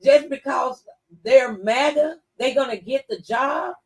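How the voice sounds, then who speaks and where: A woman's voice: sits high, a little slow, a little mellow. A woman in a casual political discussion on a talk show, speaking conversationally.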